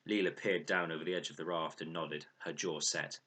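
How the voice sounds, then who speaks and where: Deep, husky-voiced male storyteller. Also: fairly even intonation, a little fast, bright, forceful tone. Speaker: storyteller reading aloud. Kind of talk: expressive children's audiobook narration.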